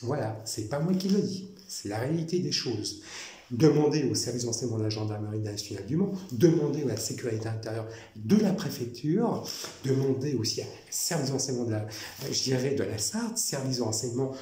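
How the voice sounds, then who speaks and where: A man from France talking in a rambling personal monologue, halting, with many fillers and restarts, repeating himself. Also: medium pitch, animated, dark tone. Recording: echoey room with faint noise.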